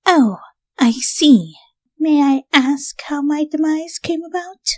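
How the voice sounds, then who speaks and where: A woman's voice: high-pitched, takes it slowly, no room sound, voice pushed up. A woman voicing a refined, genteel female pony in a story reading, polite and formal. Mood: composed.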